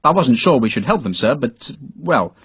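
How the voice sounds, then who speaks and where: A man's voice: mid-range voice, big pitch swings, fairly quick, breathy edge, no room sound, highs cut off. A man voicing a tired, dutiful English rifleman lieutenant, clipped and deferential, in an audiobook. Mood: defensive. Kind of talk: audiobook character dialogue.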